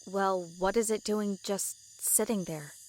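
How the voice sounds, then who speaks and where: A woman playing a brisk, skeptical, no-nonsense adventurer in an audio drama. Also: medium pitch, a little mellow, slight background noise, natural voice, rough recording. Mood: wary. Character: no-nonsense female adventurer with werewolf powers.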